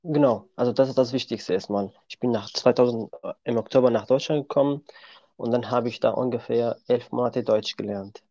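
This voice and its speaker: A man's voice: mid-range voice, steady tone, a little fast, dark tone, dry recording. Young man, dull dry sound. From Syria. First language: Kurdish. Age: 20s. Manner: plain, simple sentences. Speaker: guest. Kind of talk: podcast interview.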